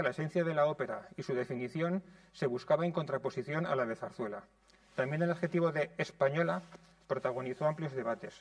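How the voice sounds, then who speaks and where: A man in his thirties from Spain, reading a prepared lecture in a measured way in a conservatory lecture room. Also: fairly high pitch, no echo, recorded from a distance. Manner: measured, reads from notes.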